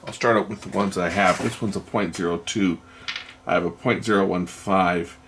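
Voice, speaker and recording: Male repair-video host, creaky low register, plain explanatory delivery, unscripted at the workbench. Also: steady tone, normal speed, background noise. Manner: matter-of-fact, explanatory, thinking aloud. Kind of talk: unscripted hands-on repair commentary.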